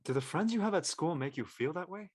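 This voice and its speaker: A brash but soft-hearted high-school boy played by a young man in an audio drama. He talks casually, with lively pitch movement and a very dry, close sound. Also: quick pace, breathy edge, dry recording. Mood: apologetic, sincere.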